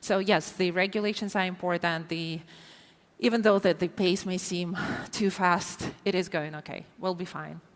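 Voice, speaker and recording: A woman's voice: lowish voice, fairly even intonation, normal speed. A woman with a Ukrainian accent speaking on a conference panel, earnest and thoughtful, often repeating words as she starts a thought. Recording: reverberant conference hall.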